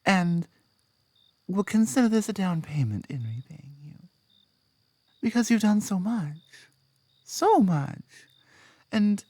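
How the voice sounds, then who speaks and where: A woman plays a tender British werewolf girlfriend in an ASMR roleplay. She talks slowly and on the low side, right up close in a very dry space. Her delivery is gentle, earnest and halting, often slipping toward a whisper. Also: dry recording, exaggerated voice. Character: affectionate, grateful young werewolf girlfriend, softly doting. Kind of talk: ASMR roleplay, intimate soft speech with whispers. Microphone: close microphone.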